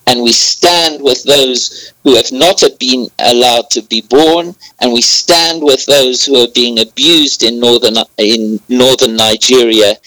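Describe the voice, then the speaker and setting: Man, mixed accent hard to place, bright forceful tone, dry close sound, earnest, measured. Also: mid-range voice, no room sound, low-quality recording. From southern Africa. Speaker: guest. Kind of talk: interview on air.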